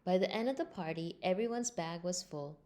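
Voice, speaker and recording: Woman reading a children's story, lowish voice. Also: brisk. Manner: expressive, acts out character lines. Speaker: storybook reader. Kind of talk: scripted children's story read-aloud.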